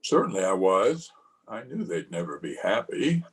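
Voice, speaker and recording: A man's voice: deep voice, sing-song. An actor playing a kindly, bewildered middle-aged father in an old-time radio drama, speaking hesitantly. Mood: gentle, puzzled. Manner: hesitant, halting.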